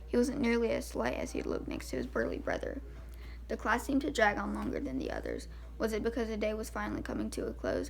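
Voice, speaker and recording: Heavily creaky-voiced woman narrating a novel. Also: on the high side, low-quality recording. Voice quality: very creaky, gravelly fry. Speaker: amateur audiobook reader. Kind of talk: scripted novel narration, first person.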